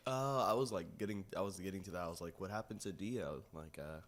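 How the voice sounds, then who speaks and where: A man's voice: low voice, quick pace. A young man co-hosting a podcast in informal, loosely phrased casual chat. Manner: informal, peppers talk with "like". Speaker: podcast co-host. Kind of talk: casual chat, asking questions. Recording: faint background noise.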